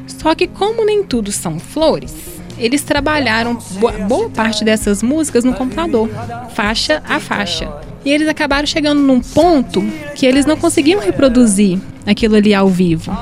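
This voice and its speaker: A woman with a Brazilian Portuguese accent co-presenting a radio show in a playful, chatty way with jokey asides. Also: on the high side. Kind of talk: casual radio chat.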